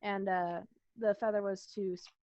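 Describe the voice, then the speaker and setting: A woman with a mid-pitched voice explains casually as a student. The sound is very dry and somewhat muffled and lo-fi. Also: fairly even intonation, normal speed, darkish tone, no echo.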